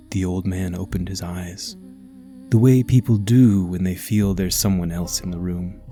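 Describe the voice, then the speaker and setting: Slow-paced male ghost story narrator. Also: low-pitched, dark tone.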